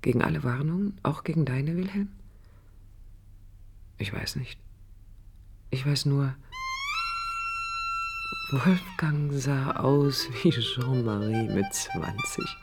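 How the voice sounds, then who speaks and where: An actress playing a passionate young woman looking back on her life in an intimate, measured radio drama monologue. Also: very deep voice, animated, gravelly, over loud music, heavily acted. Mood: reflective.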